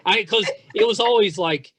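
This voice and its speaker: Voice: medium pitch, normal speed, fairly bright tone. A middle-aged podcast interviewer talking casually, with a chatty, self-deprecating, nostalgic manner.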